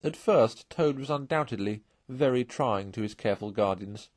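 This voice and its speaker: A man's voice: medium pitch, normal speed, no echo, clean studio sound. A man narrating a children's classic read aloud as a storybook. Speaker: audiobook narrator.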